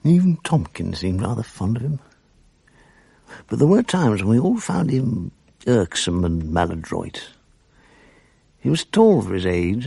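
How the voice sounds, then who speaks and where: Dry, gravelly, low English narrator. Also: male voice, warm tone, dry recording, heavily acted. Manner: measured, articulate, reflective. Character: reflective grown man recalling his English boarding-school days. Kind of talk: literary first-person drama narration.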